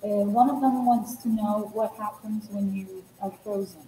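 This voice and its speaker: A woman's voice: medium pitch, fairly even intonation, moderate pace, mellow, roomy sound, slight background noise, low-quality recording. A woman moderating a webinar, reading out and patiently repeating a student's question.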